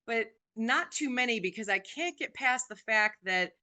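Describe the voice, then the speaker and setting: Bright clear woman in her 40s, chatty podcast guest, lots of ums. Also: fairly high pitch, expressive, moderate pace. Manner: chatty, warm about her music, many "um"s. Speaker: guest, singer and actress. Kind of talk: casual podcast chat.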